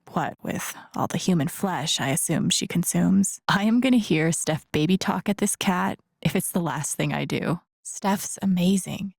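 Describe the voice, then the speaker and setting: A young woman thinks aloud in an understated, reflective inner monologue. She is empathetic and a little wry, with a creaky grain in her voice. The sound is close and dry, in a naturalistic video game style. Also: on the low side, darkish tone, no echo. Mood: wry, amused. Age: young adult.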